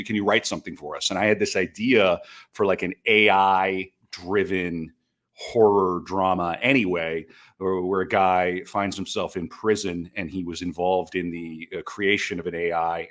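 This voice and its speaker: A man's voice: low voice, a bit bright. A man speaking as a podcast guest, telling stories casually with offhand asides.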